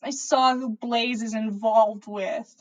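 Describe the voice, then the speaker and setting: An earnest teenage witch from a storybook, played by a woman. Her voice is high and plaintive, and she speaks with theatrical drama. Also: fairly even intonation, slow pace, highs cut off. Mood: upset.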